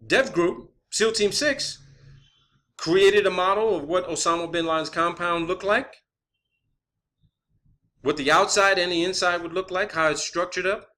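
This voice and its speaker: Bright, forceful, higher-pitched man ranting. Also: measured pace, long pauses. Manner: emphatic, insistent, swears often; rhetorical questions and repetition for emphasis. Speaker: online video presenter. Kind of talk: unscripted conspiracy theory monologue.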